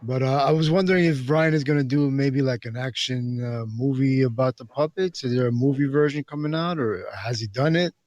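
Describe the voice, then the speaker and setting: Male caller, average pitch, casual conversation, dry recording. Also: a little fast, hardly any pauses, a little mellow, no echo. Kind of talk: casual chat.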